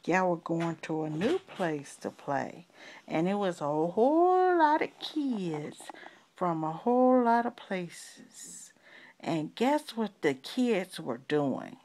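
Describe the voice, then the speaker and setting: Animated, slow-paced grandmother telling stories. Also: lowish voice, slight background noise. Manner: drawn-out pauses, questioning and coaxing the listener. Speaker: grandmother telling a bedtime story. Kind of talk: improvised children's storytelling, chatting with a child.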